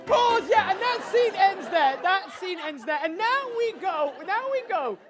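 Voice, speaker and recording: Female presenter, wry comic explainer for a stage show, high and very animated in pitch. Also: a little slow, loud music behind the voice, over a noisy crowd. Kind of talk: comic live narration to an audience.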